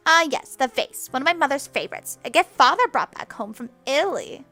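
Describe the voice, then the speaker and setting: A woman's voice: sits high, sing-song, a little slow, a bit bright, soft background music, exaggerated voice. Lady Constance, a woman doing a sneering spoiled young noblewoman. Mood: dismissive. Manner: sneering, taunting. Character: spoiled haughty young noblewoman, cruel and smug.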